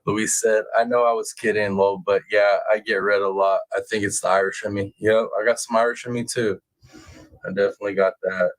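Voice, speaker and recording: A man's voice: fairly low pitch, level tone, a little fast, rough recording. A man hosting a livestream, chatting casually and in slangy, informal banter with his viewers. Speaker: livestream host. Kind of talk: casual live chat commentary.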